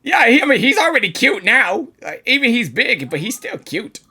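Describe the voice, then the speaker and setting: A woman livestreamer giving casual, excitable, gushing reactions to a game in slangy speech. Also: on the high side, moderate pace, husky. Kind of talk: casual live reaction commentary. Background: game audio and music.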